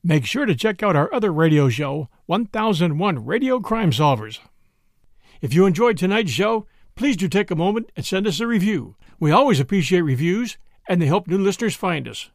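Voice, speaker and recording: Friendly, upbeat host outro, high voice, bone-dry close mic. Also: male voice, sing-song, normal speed, vocal fry, no echo. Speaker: podcast host. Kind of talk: scripted show outro.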